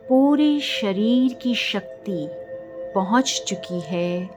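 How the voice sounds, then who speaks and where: An Indian woman leads a guided meditation at an extremely slow pace. She speaks gently in a medium-pitched voice and leaves long pauses between phrases. Faint music plays behind her. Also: lively intonation.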